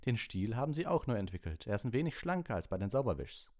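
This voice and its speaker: A man plays a brisk older woman coach from an audiobook. Her voice is lifted high and swoops with admiration as she rambles on knowledgeably. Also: sing-song, mellow, close to an everyday voice. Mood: admiring. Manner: appreciative, rambling expert talk. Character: brisk older female flying coach, admiring broom enthusiast. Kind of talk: audiobook character voice.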